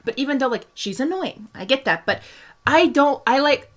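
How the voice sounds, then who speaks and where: A woman's voice: high-pitched, lively intonation, moderate pace, a bit bright. A young woman from Hungary hosting a casual fan discussion, chatting through viewer questions with lots of laughs, fillers and restarts. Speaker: host answering viewer questions.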